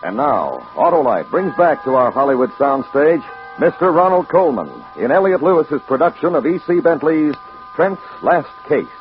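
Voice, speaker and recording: Grand old-time male radio announcer, highish. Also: sing-song, moderate pace. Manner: grand, theatrical. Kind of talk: dramatic 1940s-50s radio introduction. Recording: dull old radio recording with music.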